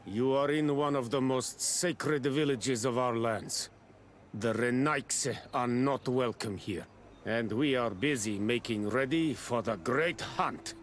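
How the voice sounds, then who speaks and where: A man's voice: medium pitch, slow, a little hiss or hum, close to an everyday voice. A man playing a wary, formal village man in a video game. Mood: guarded, dismissive. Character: wary male villager of a sacred island village. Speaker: game character giving a quest. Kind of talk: video game dialogue acting.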